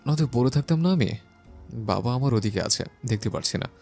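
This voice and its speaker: Deep, gravelly young husband, bedridden, curt but caring; storybook audio, faint music under. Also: female voice, expressive, brisk, dark tone, full character voice. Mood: firm, gentle. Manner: firm, curt, scolding tenderly. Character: young adult husband, injured, gruff and caring.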